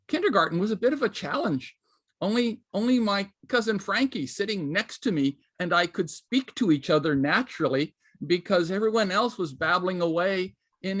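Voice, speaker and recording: A man on a panel, a writer, recalling his childhood in a relaxed, measured way. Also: sits high, no echo. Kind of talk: personal storytelling on a panel.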